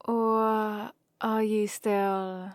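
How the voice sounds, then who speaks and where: A woman's voice: mid-range voice, little pitch movement, clean tone, dry recording, clean studio sound. A woman acting a playful, fond young hero from another world in an intimate ASMR roleplay, teasing and talking straight to the listener. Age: young adult. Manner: teasing, unhurried, intimate one-sided conversation. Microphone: close studio-style microphone, dry.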